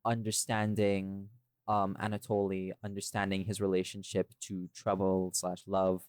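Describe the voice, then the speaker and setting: A man's voice: low-pitched, completely flat delivery, moderate pace, a little mellow, clean tone, no room sound, clean studio sound. A man hosting a casual podcast discussion, rambling and thinking aloud as he goes. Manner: rambling, thinking aloud, often checks with "right?". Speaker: podcast host and audio series creator.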